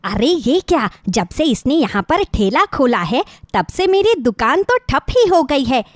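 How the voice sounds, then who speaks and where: A woman playing a jealous, rude food vendor with a sharp-tongued, hawking manner in an animated moral story. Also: high voice, a little slow, dry recording, studio quality. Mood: jealous, resentful.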